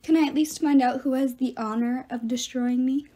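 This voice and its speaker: A wisecracking teenage boy hero, voiced by a female audiobook narrator. He is lively and sarcastic, with a fairly high, mellow voice and a cheeky way with words. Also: moderate pace. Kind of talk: audiobook character voice.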